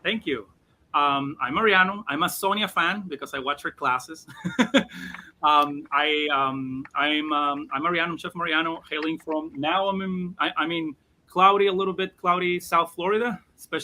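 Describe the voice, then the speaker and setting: Bright, rambling chef, a man. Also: fairly high pitch, normal speed. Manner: rambling, with many restarts and fillers. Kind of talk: casual live video chat.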